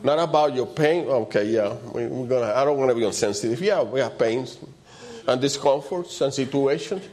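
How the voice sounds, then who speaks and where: A man with a loud voice and preaches in an informal, conversational way, repeating short phrases for emphasis. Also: mid-range voice, moderate pace. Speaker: preacher leading a church service.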